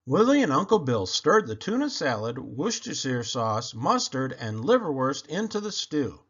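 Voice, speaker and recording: A man reading a children's picture book aloud in an expressive, animated and deliberate storytelling manner. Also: medium pitch, slightly rough, dull audio.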